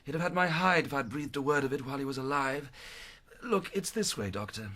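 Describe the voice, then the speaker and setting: A man's voice: medium pitch, lively intonation, a little fast, sharp tone. A man playing a chatty, genial official of an actors' charity in a radio drama, talking in a folksy, confiding way.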